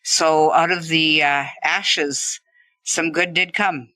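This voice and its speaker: Bright-voiced man recounting his stroke. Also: fairly high pitch, slow pace, no echo. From Canada. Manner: relaxed, laughs while telling his story. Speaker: stroke survivor guest. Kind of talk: conversational interview storytelling.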